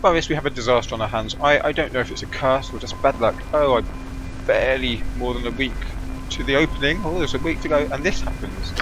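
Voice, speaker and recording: A man reading out a flustered theatre manager in a fantasy game, worried and dramatic, repeating himself as he laments a ruined play. Game music is loud behind him. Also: mid-range voice, fast talker, close to an everyday voice, low-quality recording. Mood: worried, lamenting.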